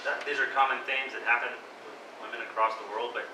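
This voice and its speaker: A man's voice: medium pitch, level tone, quick pace. A man moderating a live feedback discussion who affirms speakers and asks follow-up questions. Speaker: event moderator. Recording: echoey room, distant mic, clear background noise.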